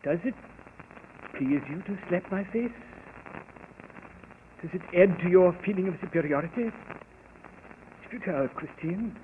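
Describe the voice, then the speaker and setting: An actor playing a cruel, taunting husband in a 1940s radio drama, with a sneering, interrogating delivery. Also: male voice, sits high, lively intonation, unhurried, long pauses. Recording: old radio broadcast, tinny and hissy.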